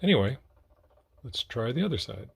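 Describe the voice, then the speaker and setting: A man's voice: medium pitch, sing-song, normal speed, creaky, slightly rough. Man casually demonstrating an old gadget. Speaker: record collector demonstrating a portable player. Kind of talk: casual hands-on demonstration talk.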